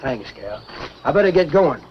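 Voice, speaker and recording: An actor playing a meek, henpecked middle-aged storekeeper with a folksy Southern American accent in a 1950s B-movie. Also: male voice, a little high, very animated, moderate pace. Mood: resigned. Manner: folksy and placating. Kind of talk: 1950s B-movie film acting. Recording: noisy, dull 1950s film soundtrack.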